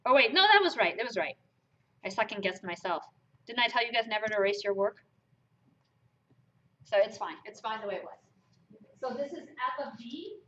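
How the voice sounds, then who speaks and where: Woman teaching a math class, kinda high voice, emphatic, dull lo-fi classroom recording. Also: moderate pace, pauses often. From Germany. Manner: lively, emphatic, rhetorical questions. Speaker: math lecturer. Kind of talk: classroom lecture. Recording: lo-fi lecture capture.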